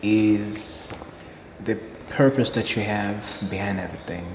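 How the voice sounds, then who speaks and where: A man from Burundi, a Kirundi native, talks through his answers in a fairly low voice, earnestly circling back to his main points. The room is echoey with a long reverb tail, and a faint hiss sits underneath. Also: steady tone, measured pace. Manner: earnest, repeats key phrases for emphasis. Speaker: interview guest, English learner. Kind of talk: casual interview answers.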